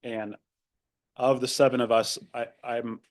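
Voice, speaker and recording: A man's voice: mid-range voice, little pitch movement, measured pace. A young man whose first language is Spanish, raised in Argentina, talking thoughtfully as a podcast guest with many fillers and restarts. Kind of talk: casual interview conversation.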